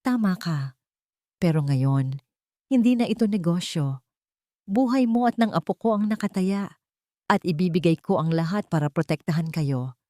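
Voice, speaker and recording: Storybook tycoon father, older voiced by a woman; low register, solemn confession, regretful but protective, close dry sound. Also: animated, warm tone, dry recording, natural voice. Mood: determined. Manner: grave, slow, confessional. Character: powerful older billionaire father, remorseful yet fiercely protective.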